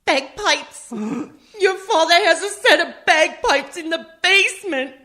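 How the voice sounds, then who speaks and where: A woman's voice: extremely high pitch, expressive, takes it slowly, bright, forceful tone, exaggerated voice. Woman playing an earnest, swooping, melodramatic mom. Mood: grave, melodramatic. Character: earnest, melodramatic, devoted jazz family mother. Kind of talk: comic radio play acting.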